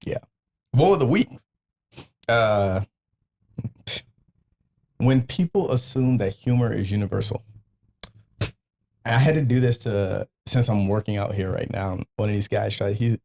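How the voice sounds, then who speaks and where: A man's voice: lowish voice, animated, measured pace, long pauses, dark tone. A man hosting a podcast in casual, slangy chat, swearing and joking as he talks. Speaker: podcast host.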